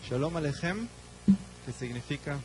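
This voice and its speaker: A man's voice: a little high, lively intonation, noisy background. Israeli man preaching in short phrases. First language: Hebrew. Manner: speaks in short phrases, pausing for the interpreter. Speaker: guest preacher. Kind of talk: sermon with live interpretation.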